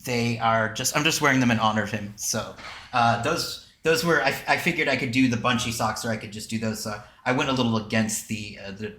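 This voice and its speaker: A man's voice: medium pitch, a little fast, pushed and bright, slight background noise. An American man co-hosting a podcast in casual, joking, rambling chat. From southern United States. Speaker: podcast co-host. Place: indoors on a video call. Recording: roomy, echoey sound.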